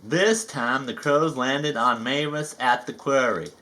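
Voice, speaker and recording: Man, children's storybook narrator, playful asides, slow, bright. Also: mid-range voice. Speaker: storybook reader.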